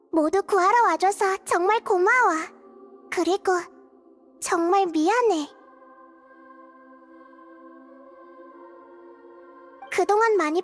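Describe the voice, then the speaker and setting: Game role: a wise, gentle childlike goddess, tiny very high voice, reflective and earnest, music behind. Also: female voice. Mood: grateful, apologetic. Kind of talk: video game character dialogue.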